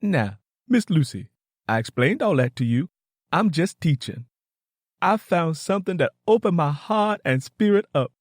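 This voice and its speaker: Audiobook role: earnest, excitable young Southern country woman, acted by a man, raised pitch, dry sound. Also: moderate pace, dry recording. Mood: passionate, pleading. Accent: American South. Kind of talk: audiobook character dialogue.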